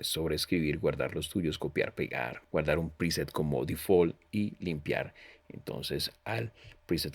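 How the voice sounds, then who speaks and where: A man with a very low voice full of gravelly fry walks the viewer through software in an informal, explanatory way. The recording is close and dry, with him addressing the listener directly as a tutorial presenter. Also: no echo.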